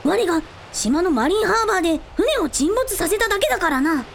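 A very high, lively young dragon prince for a radio drama. He is earnest and boyish, talking in quaint lordly old-fashioned phrases with childlike openness. There is noticeable noise behind the voice. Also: brisk, creaky, exaggerated voice. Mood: matter-of-fact. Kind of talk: Japanese radio drama acting.

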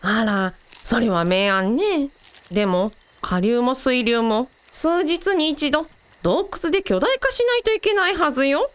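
A woman playing an affectionate, perceptive mother with a gentle, expressive manner in a Japanese-style radio drama. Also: high voice, measured pace, smooth, no echo. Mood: thoughtful.

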